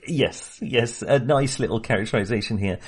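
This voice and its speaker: A man's voice: mid-range voice, normal speed, husky. A man co-hosting a casual film podcast, talking with many fillers and small laughs. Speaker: podcast co-host.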